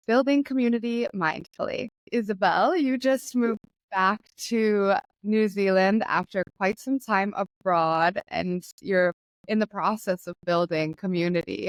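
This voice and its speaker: A woman's voice: medium pitch, clean tone, no echo, low-quality recording. Chatty young woman podcast host. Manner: relaxed and chatty, laughs often. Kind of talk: casual conversational chat.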